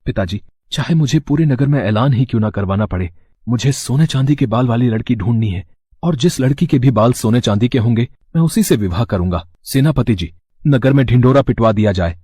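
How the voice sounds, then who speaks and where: A man's voice: medium pitch, animated, a little fast, warm tone, slightly rough, dry recording. A man voicing a lovestruck, determined young prince in a fairy tale, formal and resolute.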